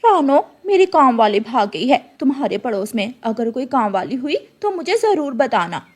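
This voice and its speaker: Woman playing a haughty high-voiced crow mistress. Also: very animated, moderate pace. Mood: brisk, self-important. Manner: imperious, scolding. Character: haughty, bossy female crow employer, mean mistress.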